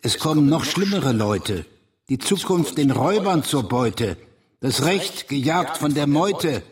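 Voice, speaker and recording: An actor playing a bitter, fearful, educated conservative doomsayer in a German radio drama, with a sardonic, rhetorical delivery. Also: male voice, fairly high pitch, expressive, measured pace. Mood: gloomy. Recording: clearly noisy background ambience.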